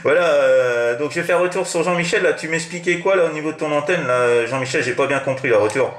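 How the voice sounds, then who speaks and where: A man's voice: fairly high pitch, fairly quick, a bit bright, faint music behind. A man with a French accent chatting casually as an amateur radio operator, in a hesitant, rambling, repetitive way. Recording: roomy, echoing room sound.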